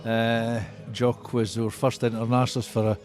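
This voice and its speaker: An older man from the Scottish Borders, with the accent to match, talks at an average male pitch. He answers as an interviewed club historian, recounting the past conversationally. Faint music sits behind him. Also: little pitch movement, moderate pace, a little mellow.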